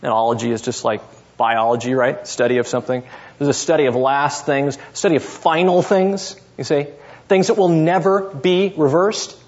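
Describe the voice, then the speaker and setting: A man's voice: fairly high pitch, fairly even intonation, normal speed, muffled recording. A man teaching a seminary class, explaining step by step and often checking in with his listeners. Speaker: seminary professor. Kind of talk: classroom lecture on scripture.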